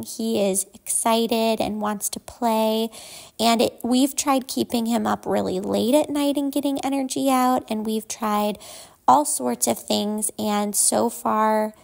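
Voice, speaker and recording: A woman's voice: fairly high pitch, gravelly, a little mellow. A woman in her thirties giving a chatty, easygoing voice-over for her home cleaning vlog, explaining what she does as she goes. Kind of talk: casual voice-over narration.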